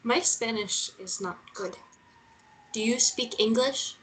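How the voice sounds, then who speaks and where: A woman's voice: a little high, slow, fairly bright tone, a little hiss or hum, rough recording. A woman playing a determined, stubborn journalist in a drama read-through, earnest and persistent in her way of talking. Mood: probing. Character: determined female journalist, pleading yet stubborn.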